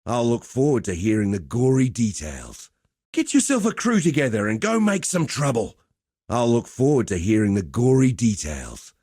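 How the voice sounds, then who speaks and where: A man's voice: medium pitch, very animated, moderate pace, dark tone, breathy edge, dry recording, studio quality. A man playing a cocky, easygoing gladiator master in a video game, teasing with wry, conversational swagger. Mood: rousing, wry. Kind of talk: video game character dialogue.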